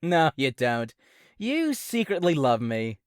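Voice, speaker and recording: Woman as smug teasing robot, lively pitch, dry close sound, audio drama. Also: takes it slowly, dry recording, exaggerated voice. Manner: playful, taunting.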